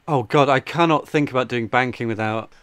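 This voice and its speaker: A man's voice: mid-range voice, fairly quick, fairly bright tone, no room sound. A man, co-hosting a podcast, asking questions and teasing his co-host. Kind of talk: casual chat. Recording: dry close mic.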